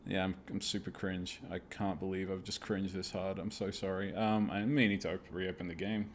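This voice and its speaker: A man's voice: low-pitched, flat delivery, normal speed, a little hiss or hum. A man hosting a live gaming stream, chatting casually in a self-deprecating, apologetic way with plenty of swearing.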